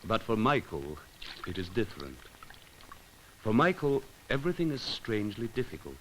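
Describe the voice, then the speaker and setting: A man reading scripted narration for an educational film, in a measured, solemn and poetic way with deliberate pauses. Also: lowish voice, big pitch swings, fairly bright tone, a little hiss or hum, low-quality recording. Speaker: documentary narrator.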